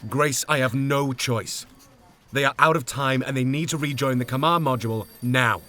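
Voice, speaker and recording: Actor as a stern mission commander, forceful voice. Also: male voice, medium pitch, moderate pace, close to an everyday voice. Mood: urgent, firm. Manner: grave, clipped, authoritative. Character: stern, burdened male mission control commander. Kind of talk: dramatic audio drama acting.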